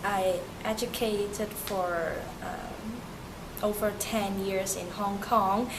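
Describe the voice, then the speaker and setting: A young woman from Turkey, a native Turkish speaker, answering interview questions in halting English with many fillers and restarts. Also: medium pitch, fairly even intonation. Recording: noisy amateur recording.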